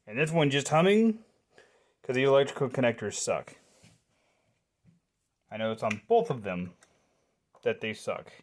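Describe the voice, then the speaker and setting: A man's voice: mid-range voice, expressive, unhurried, long pauses. Casual man thinking aloud while he tinkers. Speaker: hobbyist repairer presenting a video. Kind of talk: casual hands-on repair demonstration.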